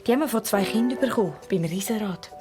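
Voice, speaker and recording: A woman playing a gentle, affectionate mother with a Swiss accent in a children's radio play. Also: mid-range voice, quick pace, over music, natural voice. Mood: fond.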